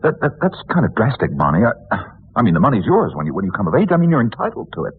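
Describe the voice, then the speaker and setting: An actor playing an evasive, slangy young American husband in a radio drama, stammering under pressure. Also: male voice, mid-range voice, huge pitch swings, quick pace, breathy edge, heavily acted. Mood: flustered, indignant. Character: evasive, fast-talking young husband, down on his luck. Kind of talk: old-time radio drama acting. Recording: muffled narrowband vintage radio recording.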